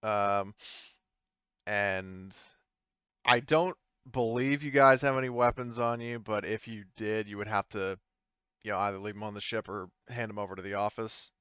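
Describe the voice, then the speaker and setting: A man with a smooth, lowish voice runs a tabletop game in a casual way. He thinks aloud and hesitates as he narrates. The sound is dry and slightly dull, with a lo-fi edge. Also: fairly even intonation, normal speed, sharp tone, dry recording. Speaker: game master.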